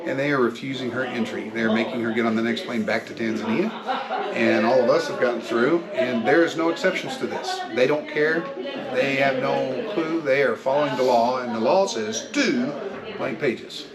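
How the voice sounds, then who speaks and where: A man's voice: medium pitch, moderate pace, over a noisy crowd. A man vlogger explaining a situation to camera in an emphatic way, repeating key phrases. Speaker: travel vlogger. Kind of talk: explanatory vlog talk to camera.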